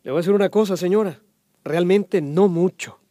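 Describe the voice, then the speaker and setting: Male actor, radio play storyteller, folksy, resigned, high voice, conversational, emphatic. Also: fairly quick, mellow, dry recording, clean studio sound, natural voice. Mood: knowing, resigned. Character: folksy, resigned man explaining history to a lady. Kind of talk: radio drama dialogue.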